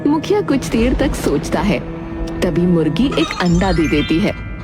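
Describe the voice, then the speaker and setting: A woman's voice: fairly low pitch, big pitch swings, unhurried. Woman narrating a children's story over music. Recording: prominent music bed under voice.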